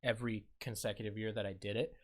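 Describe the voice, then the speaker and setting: A man's voice: on the low side, flat delivery, quick pace, a little mellow. A man as a podcast guest, reflective and easygoing, talking casually and joking. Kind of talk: casual conversation.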